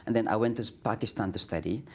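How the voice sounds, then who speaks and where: Middle-aged indonesian guy, earnest, lots of uh and restarts, lowish voice, studio interview. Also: fast, slightly rough. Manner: earnest, many restarts and fillers, grows animated. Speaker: imam, guest.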